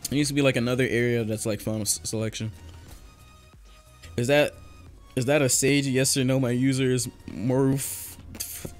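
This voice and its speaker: Young male streamer, mid-pitched, loose and jokey, voice worn from long talking, faint game music behind. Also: fairly even intonation, normal speed, pauses often. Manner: loose, joking, chatty with viewers, laughs often. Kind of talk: casual live-stream chat while gaming.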